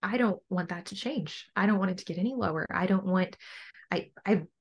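A young adult woman with a low voice, talking casually and earnestly as a coach being interviewed on a podcast. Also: fairly quick, breathy edge, dull audio. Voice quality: low-pitched voice. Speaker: bodybuilding coach and podcast guest.

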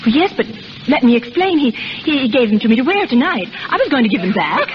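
An actress playing a sincere, wounded young shop girl in a 1930s radio melodrama, earnest and halting. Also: high voice, very animated. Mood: defensive, agitated. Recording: noisy, dull old 1930s radio recording.

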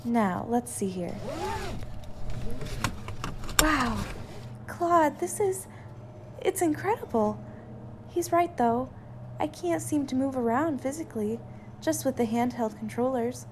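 Woman acting a high-voiced, sensible scientist wife. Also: lively intonation, slow pace, long pauses, background noise. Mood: wondering. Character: caring, sensible female scientist, inventor's wife and mother. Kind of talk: audio drama acting.

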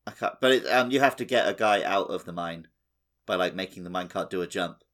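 A man's voice: low-pitched, fast talker, a bit bright. A man co-hosting a podcast, talking through a game in a casual, conversational way. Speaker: podcast co-host. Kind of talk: casual gaming discussion.

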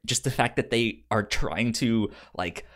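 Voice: medium pitch, measured pace, fairly bright tone. A podcast co-host chatting casually about a film, stammering and often repeating syllables and words.

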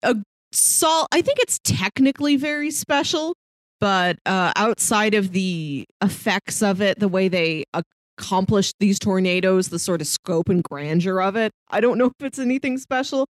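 A woman's voice: medium pitch, lively intonation, normal speed, no room sound. Chatty woman, close dry sound. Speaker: podcast co-host. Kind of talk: casual movie discussion.

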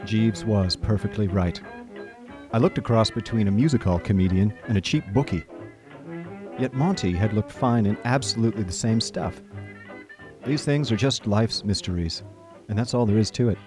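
A man playing a chatty, idle young gentleman who narrates a comic radio drama in breezy, old-fashioned upper-class phrasing. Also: fairly low pitch, moderate pace, over music, lightly acted. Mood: rueful, chatty.

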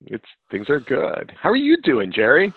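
A man co-hosting a conversational podcast, earnest and thoughtful, speaking in a measured way. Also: a little high, huge pitch swings, vocal fry, slightly rough, no room sound. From United States. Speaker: podcast co-host, psychologist. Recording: phone-like remote call line.

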